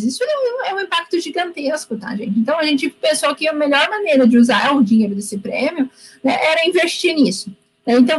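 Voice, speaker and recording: A woman lecturer from Brazil speaks in a fairly high voice over a video call, animated and earnest, often checking in with her listeners. A faint hum runs under her voice. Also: rough recording. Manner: earnest, animated, frequent tag questions. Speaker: guest speaker, university lecturer. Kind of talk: conversational talk answering a question. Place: home room with bookshelves. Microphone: laptop or webcam mic on a video call.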